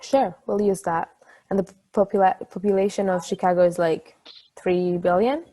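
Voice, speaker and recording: An affectionate, playful and brainy girlfriend played by a woman chats in a natural, gently teasing way, as in a stage drama. Her voice is fairly low and very soft-edged. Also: fairly even intonation, moderate pace, close to an everyday voice, rough recording. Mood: explaining. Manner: casual, conversational, gently teasing. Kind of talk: conversational table-read acting.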